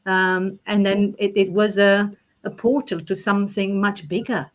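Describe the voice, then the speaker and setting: A woman's voice: mid-range voice, fairly even intonation, unhurried. A woman from Romania talking through ideas in a podcast conversation, thoughtful and often rephrasing as she goes. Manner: thoughtful, with frequent restarts and rephrasing. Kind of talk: reflective conversational chat.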